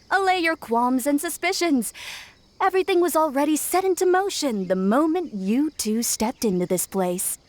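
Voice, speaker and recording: A woman's voice: sits high, full character voice. A woman voicing a young shrine maiden in a video game, sly, cryptic and theatrically foreboding. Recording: faint background music.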